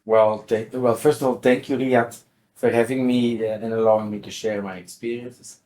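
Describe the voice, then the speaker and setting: A man's voice: medium pitch, steady tone, normal speed, a little mellow, slight background noise. A man in his sixties with a Belgian accent explains things in an interview, often repeating words as he goes. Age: 60s. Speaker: interview guest, company CEO.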